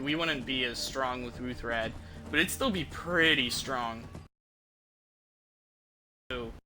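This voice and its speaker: Guy streaming a game, super bright punchy voice, casual n sweary, bit of game noise behind him. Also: mid-range voice, rough recording. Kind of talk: casual live gameplay commentary.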